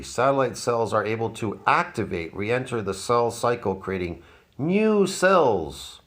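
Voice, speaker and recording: A man in his fifties giving mocking, sarcastic commentary on a fitness clip. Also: fairly bright tone. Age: 50s. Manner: mocking, sarcastic, jumps in pitch. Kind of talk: reaction commentary.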